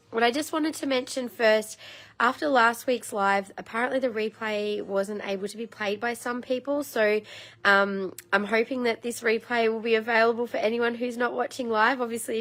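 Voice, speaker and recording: A woman in her thirties with a slightly croaky voice, chatting casually and rambling a little as she hosts a live crystal sale. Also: on the high side, fairly bright tone. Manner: chatty and rambling, many ums. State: slightly croaky, frog in throat. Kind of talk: casual live-stream sales chat.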